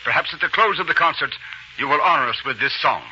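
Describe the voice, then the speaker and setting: An actor playing a formal, courteous royal music director in an old radio drama. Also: male voice, a little high, expressive, fast talker, sharp tone. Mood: pleased, formal. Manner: formal, polite. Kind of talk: 1940s radio drama acting. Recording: dull old radio recording, faint noise.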